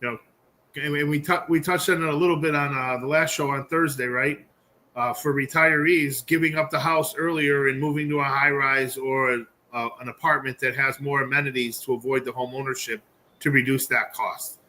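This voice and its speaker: A man co-hosting a talk show, adding quick points and tagging them with "right?". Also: mid-range voice, steady tone, sharp tone, a little hiss or hum, poor audio. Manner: chimes in with quick agreement, "right?" tags. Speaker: co-host. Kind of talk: casual financial talk show chat.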